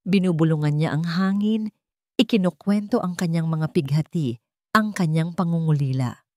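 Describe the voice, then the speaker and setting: Female storyteller, lower register, melodramatic scripted delivery, close dry recording. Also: normal speed, dark tone, no echo. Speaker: story narrator. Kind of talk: dramatic scripted narration of a romance story.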